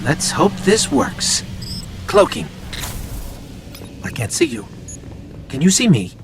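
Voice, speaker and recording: An actor playing a small, loyal robot companion, anxious and wry, with a quippy, inquisitive way of talking in a video game. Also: male voice, a little high, huge pitch swings, takes it slowly, vocal fry, heavily acted. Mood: nervous. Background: loud music.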